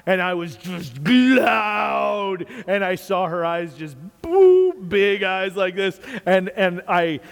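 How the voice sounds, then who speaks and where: A man from the United States with a loud, carrying voice, talking earnestly in a casual faith talk and repeating phrases for emphasis. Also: high-pitched, animated, slow pace, gravelly, hardly any pauses, in a large hall.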